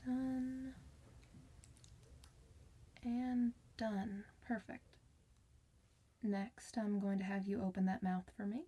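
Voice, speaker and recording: A woman playing a gentle, reassuring clinician in an ASMR medical roleplay, soft-spoken and soothing, sometimes slipping toward a whisper. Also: mid-range voice, flat delivery, takes it slowly, long pauses, husky.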